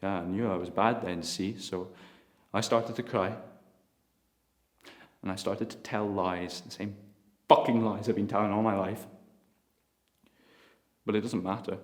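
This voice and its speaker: A man's voice: mid-range voice, fairly quick, voice pushed up, natural voice. A man playing a bitter son raging at his father. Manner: furious, swearing, voice climbing to shouts. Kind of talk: audition monologue. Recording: roomy echo.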